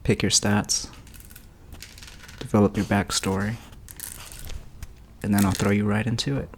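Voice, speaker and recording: A woman voicing a cocky teenage metalhead boy who runs a fantasy game, with a lowered, gruff drawl. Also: level tone, a little slow, slight background noise. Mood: explaining.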